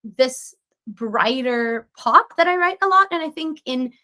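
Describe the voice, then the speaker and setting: A woman's voice: sits high, lively intonation, a little slow, pushed and bright. A woman in her twenties from Slovenia, chatting as a guest in a casual interview, bubbly with many fillers and restarts. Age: 20s. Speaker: guest singer-songwriter.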